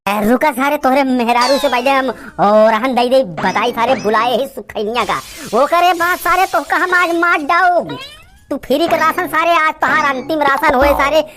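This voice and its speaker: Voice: sits high, moderate pace, pushed and bright. A performer voicing a cantankerous, lazy village uncle in an Awadhi comedy cartoon, scolding and rambling with stubborn, abusive bluster. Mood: angry, threatening. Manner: blustering, scolding, rambling rants full of abuse.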